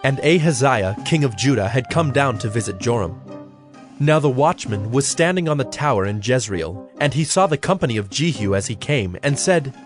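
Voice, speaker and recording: A man's voice: medium pitch, animated, normal speed, soft background music. Male narrator, mid-range voice, scripted dramatized scripture reading with light score beneath.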